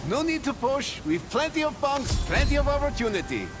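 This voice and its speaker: High lively male voice, eager smooth-talking recruiter, game sales patter, music behind. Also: brisk. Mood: persuasive, brisk.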